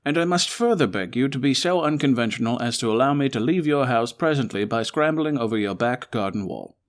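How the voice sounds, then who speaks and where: A man's voice: mid-range voice, animated, brisk, lightly acted. A man playing a brilliant, weary but resolute Victorian detective in a one-man audio drama, articulate, formal and emphatic. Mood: composed, dry.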